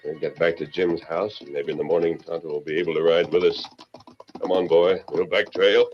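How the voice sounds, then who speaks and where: An actor playing a steady cowboy hero in an old-time radio serial, measured and authoritative. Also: male voice, low voice, noisy background, close to an everyday voice. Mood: resigned, steady. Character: steady cowboy hero talking to his horse. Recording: dull vintage radio, faint music.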